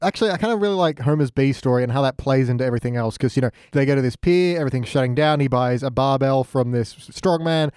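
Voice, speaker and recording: A man's voice: medium pitch, quick pace, hardly any pauses, darkish tone, smooth, dry recording. A man chatting casually as a podcast guest, with dry, wry humor. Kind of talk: casual comedic review chat.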